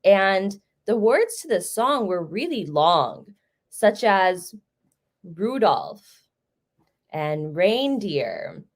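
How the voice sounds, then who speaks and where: A woman's voice: mid-range voice, very animated, extremely slow. A young American woman from Chicago giving a conversational talk over a video call, telling personal stories. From Chicago, United States. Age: young adult. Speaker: guest speaker. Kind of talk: storytelling talk.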